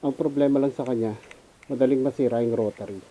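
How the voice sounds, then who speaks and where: A man's voice: medium pitch, fairly even intonation, fast, warm tone, slight background noise, rough recording. A Filipino man giving a casual, unscripted gear review as a motovlogger, informally mixing in English. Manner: informal, switches between Tagalog and English.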